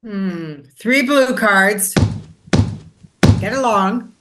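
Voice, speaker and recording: A woman's voice: medium pitch, expressive, drawn-out words, background noise. A woman playing a cold, indifferent registration clerk in a stage play, curt and official. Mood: cold, officious.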